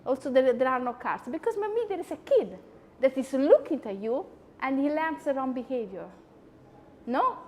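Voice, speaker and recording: Italian-accented woman host, high bright voice, chatty, long reverb. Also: moderate pace. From Italy. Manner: chatty, animated, frequent asides. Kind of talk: lecture-style talk.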